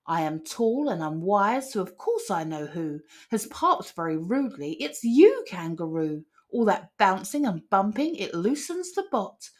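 A woman's voice: mid-range voice, very animated, measured pace, hardly any pauses. Woman as a haughty, indignant emu.

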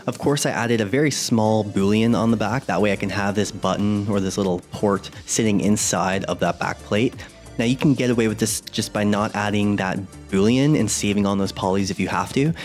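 A young adult man with a fairly low voice explains his modeling steps in a casual, conversational voice-over. Soft music plays faintly underneath. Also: fairly quick. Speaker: 3D artist tutorial host. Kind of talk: voice-over tutorial narration.

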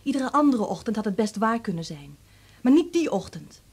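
A woman's voice: fairly high pitch, big pitch swings, normal speed, darkish tone, breathy edge, heavily acted. An actress playing a reserved, guarded young woman in a Dutch radio drama, speaking reticently. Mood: confiding, grave.

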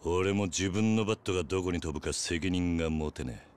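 A man acting as an intimidating middle-aged ex-gangster in a Japanese game drama. His voice sits very low, and he speaks in clipped, deadpan phrases with gruff restraint. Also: a little fast, close to an everyday voice. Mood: cool menace. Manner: curt, deadpan.

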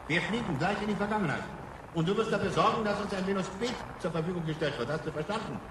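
A man's voice: high-pitched, quick pace, noisy background, lightly acted. An actor playing an exasperated, resourceful grandfather in a science fiction radio play, explaining things with agitated impatience. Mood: urgently explaining. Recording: echoing, distant, loud music behind.

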